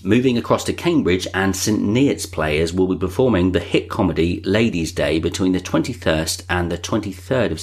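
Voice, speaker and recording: A man presenting a podcast in a chatty, informal and gently self-deprecating way. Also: deep voice. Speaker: podcast host and interviewer.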